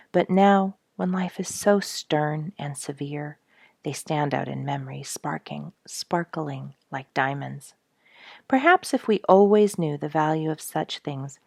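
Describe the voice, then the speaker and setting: A woman's voice: low-pitched, gravelly, a little mellow, no room sound, studio quality. A woman reading as a wry soldier at sea. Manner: wry, musing letter reading. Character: male soldier writing home from a troopship, good-humored.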